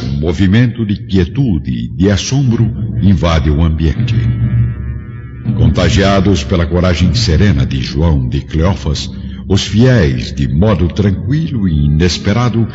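Very deep-voiced male narrator. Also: expressive, unhurried, dark tone. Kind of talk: scripted dramatic narration. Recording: dull audio with music behind.

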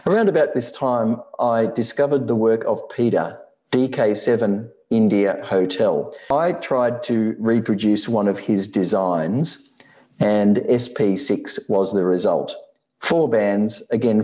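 Presenter, male, 60s, Australian. Low, relaxed voice, measured explanatory read, slightly lo-fi sound. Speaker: amateur radio presenter. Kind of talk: scripted slide presentation narration.

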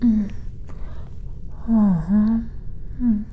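A young woman whispering softly through an ASMR roleplay of an alien medical inspection, humming and murmuring between lines. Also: medium pitch, extremely slow, phone-quality sound, slight background noise. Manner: soft unvoiced whisper with hums and murmurs. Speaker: ASMR creator.

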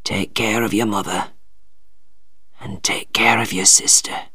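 Man voicing a proud, slow-spoken ailing father. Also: on the high side, sharp tone, no room sound. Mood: weak, dying. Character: Mister Tulliver, proud hot-tempered father, ailing and weak. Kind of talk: audiobook character dialogue.

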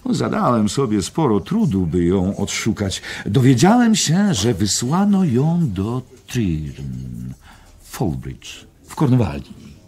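An actor playing a worldly journalist in a radio drama, chattily telling a friend an eerie tale in a husky, gravelly voice. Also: male voice, on the low side, very animated, takes it slowly, darkish tone, full character voice. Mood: casual, animated.

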